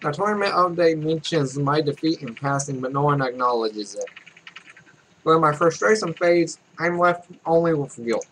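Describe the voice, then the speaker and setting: Higher-pitched young man, offhand gamer commentary. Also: moderate pace, fairly bright tone. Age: 20s. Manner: rambling, offhand asides. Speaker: let's play host. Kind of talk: casual gameplay commentary mixed with reading game text aloud. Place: small home room, indoors. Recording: noisy home recording.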